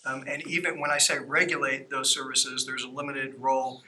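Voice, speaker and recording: A man working as an outside attorney, giving a plain, unhurried legal briefing to a city council. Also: medium pitch, flat delivery, pushed and bright. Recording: noisy council meeting audio.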